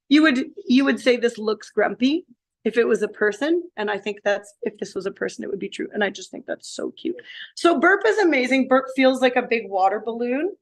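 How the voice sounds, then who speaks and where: A slightly hoarse woman in her thirties, explaining animals to a class of young children. Her delivery is animated and full of questions for the kids. She is heard from a few feet away in a school classroom. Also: fairly high pitch. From Canada. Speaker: science educator. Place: elementary school classroom, indoors.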